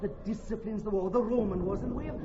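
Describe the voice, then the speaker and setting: An actor playing a fussy, argumentative Welsh army captain in a Shakespearean radio drama, with a pedantic, repetitive and emphatic manner. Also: male voice, high voice, very fast, heavy background noise, natural voice. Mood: pedantic, insistent. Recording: muffled old radio recording, music behind.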